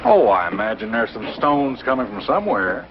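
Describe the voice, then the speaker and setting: A man's voice: on the low side, very animated, moderate pace. A man playing a scoffing big-city newspaperman in an old 1950s television drama, sarcastic and mocking. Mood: sardonic, scoffing. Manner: sarcastic, mocking, theatrical when reading aloud. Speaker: actor in a TV drama. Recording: dull, noisy old TV soundtrack.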